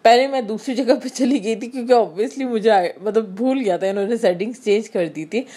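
A woman's voice: on the high side, fairly quick, mellow, slightly rough. A woman from Pakistan with a Karachi Urdu accent, chatting casually through a grocery haul voice-over and explaining each item with many fillers. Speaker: vlogger.

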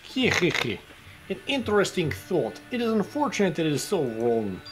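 Guy doing a creepy smug fancy-talking game character, young man, sly and formal, pretty animated pitch. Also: soft background music, low-quality recording. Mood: smug amusement. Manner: formal, deliberate, condescending, sly chuckles.

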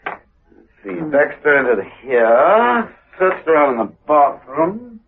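An actor playing a suave, inquisitive secret agent in a radio drama, clipped and urbane. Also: male voice, on the high side, big pitch swings, slow, exaggerated voice. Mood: coolly probing. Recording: muffled, lo-fi radio recording.

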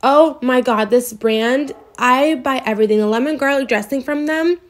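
Chatty young woman with a high voice. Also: moderate pace. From US East Coast. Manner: chatty and gushing, heavy use of "like". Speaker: vlogger. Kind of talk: casual meal prep voice-over. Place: home kitchen.

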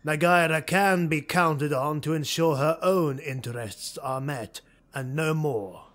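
A man narrating an audiobook, voicing a ruthless, driven male dark elf lord in an urgent, commanding, clipped way. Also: on the high side, measured pace, voice pushed up. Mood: cold.

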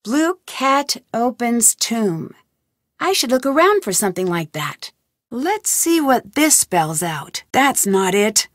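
A woman's voice: a little high, big pitch swings, slow, no room sound, studio quality. A woman voicing a curious, determined young woman detective in a game, thinking aloud as she searches for clues. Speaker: game heroine. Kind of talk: game voice lines.

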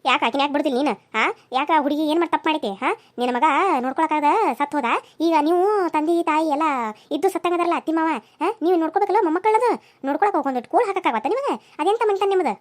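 Woman as protective neighbour, outspoken, indignant, very high voice, fast, North Karnataka accent, melodrama. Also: close to an everyday voice. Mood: scolding. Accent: North Karnataka Kannada. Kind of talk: family melodrama.

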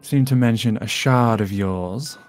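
A man's voice: medium pitch, unhurried, lightly acted. A man playing a composed, calculating villain in an amateur Minecraft roleplay, formal and polite. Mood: courteous.

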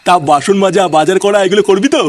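A man's voice: high voice, fast talker, music in the background, lightly acted. A man voicing a hot-tempered, foul-mouthed ageing father in a comedy cartoon. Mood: gruff, demanding. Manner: scolding, profane. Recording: clearly noisy track.

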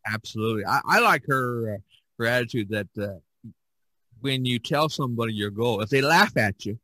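A man's voice: mid-range voice, animated, moderate pace, sharp tone, no echo, low-quality recording. A man hosting a podcast in a casual, chatty style. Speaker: podcast host.

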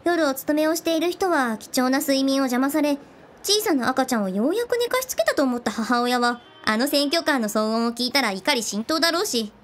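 A woman playing an earnest young first-time voter who admonishes her friend in a radio drama. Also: high-pitched.

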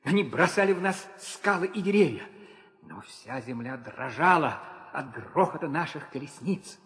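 An actor playing a noble, devoted young warrior prince, declaiming fervently in an old theatrical audio drama. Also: male voice, a little high, expressive, normal speed, a bit bright. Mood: proud, fervent. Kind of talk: 1960s Soviet theatrical audio drama acting. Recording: old reverberant studio recording with music.

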